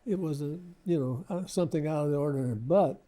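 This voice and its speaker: An older man, reminiscing casually as an interview guest in a halting, modest, rambling way. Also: on the high side, mellow. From Lebanon, Ohio, United States.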